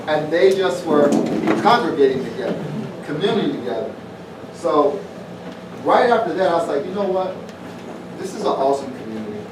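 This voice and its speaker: A middle-aged man from southwest Virginia, giving a plainspoken, informal speech to an audience at an evening community event. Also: a little high. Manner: folksy, plainspoken, repeats phrases. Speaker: former pro football player announcing a festival. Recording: roomy, distant mic, noisy event.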